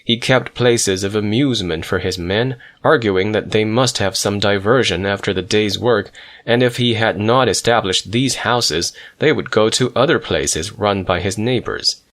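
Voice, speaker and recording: A man narrating a memoir audiobook in a measured, reflective manner. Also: fairly low pitch, fairly bright tone.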